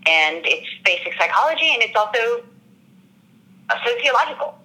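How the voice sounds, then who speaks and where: An actress in an interview, talking through her character in an earnest, reflective way and often pausing to search for words. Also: a little high, sing-song, bright, forceful tone, rough recording. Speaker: actress discussing her role. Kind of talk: interview answers.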